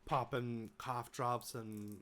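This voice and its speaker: A congested young man from the United States, stuffy from a cold. He talks to the camera in a loose, rambling way, with plenty of ums, uhs and restarts, and his pitch sits in an ordinary middle range. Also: steady tone, slow pace, fairly bright tone. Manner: rambling, many fillers and restarts. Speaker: vlogger. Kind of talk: casual update talk to camera.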